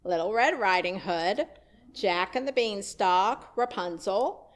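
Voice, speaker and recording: Lady minister preaching in church, slow and animated, dry little jokes. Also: mid-range voice. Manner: conversational storytelling with wry asides. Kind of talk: sermon. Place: church sanctuary.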